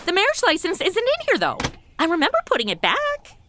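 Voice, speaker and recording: A woman's voice: very high-pitched, wildly swooping pitch, a little fast, noisy background, exaggerated voice. An actress playing a breezy, irreverent modern woman with a flippant, slangy manner in a comedy audio drama. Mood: matter-of-fact.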